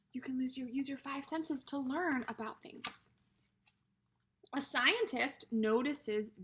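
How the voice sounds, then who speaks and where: A woman teacher reading aloud to young children in an animated, instructional way, with simple questions and emphatic repetition. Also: high voice, breathy edge. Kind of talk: children's read-aloud lesson.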